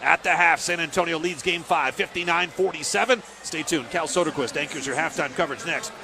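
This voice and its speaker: A man's voice: a little high, brisk, vocal fry. An American man calling live basketball play-by-play on the radio in clipped, fragmentary phrases. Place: live sports broadcast from the game.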